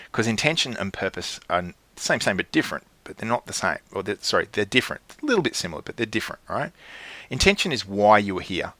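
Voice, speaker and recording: A man coaching his clients, earnest and checking in often, with lively jumps in pitch and frequent restarts. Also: no echo. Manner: earnest, keeps checking in with "right?". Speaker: life coach.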